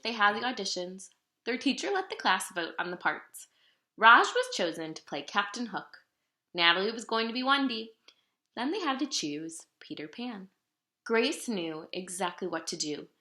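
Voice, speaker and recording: A woman reads a picture book aloud with lots of expression. Her pitch moves freely and her tone is bright and clear-cut. The room adds a noticeable echo around her storytelling. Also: measured pace. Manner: animated, dramatic storytelling delivery. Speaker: storybook reader. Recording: roomy, echoing room sound.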